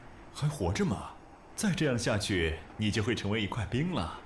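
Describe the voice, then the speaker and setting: A man's voice: fairly low pitch, very animated, normal speed. A man playing a cheerful, kindly mountain climber in an audiobook. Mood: relieved, friendly. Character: cheerful, kindly short Tibetan male climber. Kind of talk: audiobook character acting. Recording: echoey, faint ambient noise.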